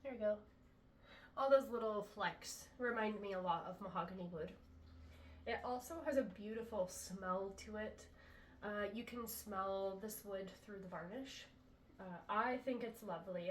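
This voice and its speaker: A woman's voice: mid-range voice, moderate pace, far from the mic, slight background noise. A woman demonstrating handmade flutes in a casual, chatty way, sounding a little congested after a cold. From US Pacific Northwest. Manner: chatty, relaxed, many ums. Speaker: flute maker showing her products. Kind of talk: casual product demo. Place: indoor room, talking to camera. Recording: echoey room, voice from off-mic.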